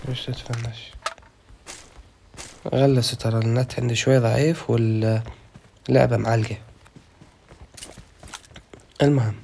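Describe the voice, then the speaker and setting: A man's voice: medium pitch, steady tone, measured pace, vocal fry, long pauses, warm tone, slight background noise. A young man with an Iraqi Arabic accent, hosting a gaming channel and chatting casually while a little sick. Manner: chatty, loose, thinking aloud. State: slightly sick. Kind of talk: casual gameplay commentary.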